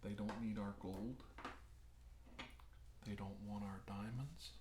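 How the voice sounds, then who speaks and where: In a roomy, echoing space with some background noise, a soft-spoken doctor played by a man gives an ASMR examination. He talks very slowly and deliberately in halting phrases, often slipping into a whisper. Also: fairly low pitch, steady tone. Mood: calm, grave. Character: unhurried doctor examining an abductee, explaining alien plans.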